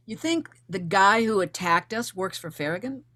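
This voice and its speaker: A woman's voice: medium pitch, animated, normal speed, bright, forceful tone. A woman acting as a determined, blunt female investigator in a screenplay table read. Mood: suspicious, defiant. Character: defiant, determined recently widowed female investigator, former accountant.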